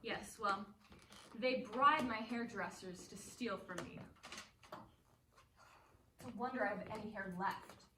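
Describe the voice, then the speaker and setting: An actress playing a worldly, amused stage actress in a play, speaking with poised, gently mocking wit. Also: female voice, medium pitch, unhurried, pauses often, close to an everyday voice. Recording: roomy, distant mic, faint noise.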